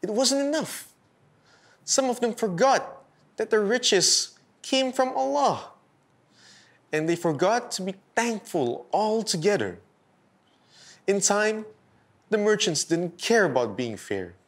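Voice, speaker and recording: A man hosting and narrating a scripted bedtime story for children in a deliberate, expressive storytelling style. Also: high voice. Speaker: storyteller host.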